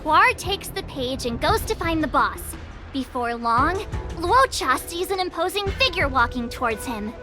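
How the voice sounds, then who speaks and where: A woman voicing a perky, self-assured young girl in an animated video game style. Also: sits high, unhurried. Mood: playful storytelling. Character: perky young girl improvising and narrating a playful tale. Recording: game music behind the voice.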